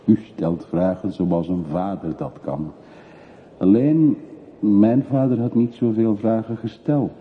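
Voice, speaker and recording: A man's voice: on the low side, slow pace. An actor playing a brooding middle-aged man back from long exile in a radio play, terse, evasive and reflective. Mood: guarded. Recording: muffled phone-like old radio recording, faint hiss.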